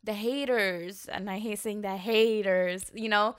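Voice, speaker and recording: A woman's voice: medium pitch, fairly even intonation, measured pace. A woman hosting a casual chat, speaking in an earnest, reflective way.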